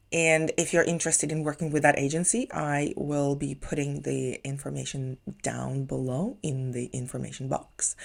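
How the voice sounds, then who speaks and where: Ukrainian accent woman, low voice, chatty vlog advice, lots of uh and um. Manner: chatty, explaining step by step with fillers. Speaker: English teacher vlogger.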